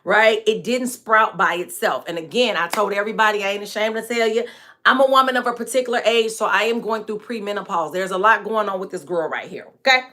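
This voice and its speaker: A woman in her forties hosting a live hair care stream, chatting frankly with viewers and laughing often, her voice a bit hoarse and dry. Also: mid-range voice, brisk, pushed and bright. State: hoarse and dry voice from staying up late. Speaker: natural hair care host and product maker. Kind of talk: live-stream chat answering viewer comments.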